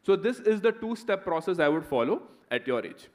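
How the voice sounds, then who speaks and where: A man's voice: sits high, big pitch swings, brisk. A man around thirty, a guest speaker answering student questions in a conversational way, often tagging "right?". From India. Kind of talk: motivational talk and Q&A.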